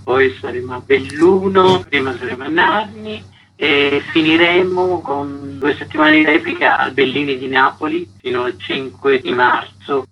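An older man, a stage actor, answering reflectively in an interview. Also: medium pitch. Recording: lo-fi radio recording, faint noise.

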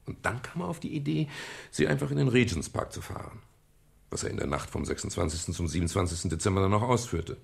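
An actor playing a calm, reflective private detective in a German radio crime drama, speaking in a measured, explanatory way with a gravelly, husky voice. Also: male voice, sits low, full character voice. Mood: calm explaining. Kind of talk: German radio crime drama, dialogue and first-person narration.

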